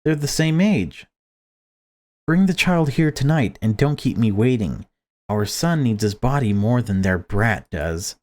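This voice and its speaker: A man's voice: mid-range voice, expressive, unhurried, darkish tone, close to an everyday voice. A man voicing a cruel, controlling mother who puts on politeness, in a horror visual novel. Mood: scheming, commanding. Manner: polite excuses and curt commands. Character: cruel controlling mother, falsely sweet to outsiders.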